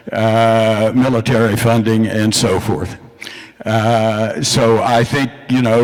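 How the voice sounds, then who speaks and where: Man, audience question, hesitant, average pitch. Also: rough recording. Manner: hesitant, with many fillers. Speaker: audience member asking a question. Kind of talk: casual question from the floor.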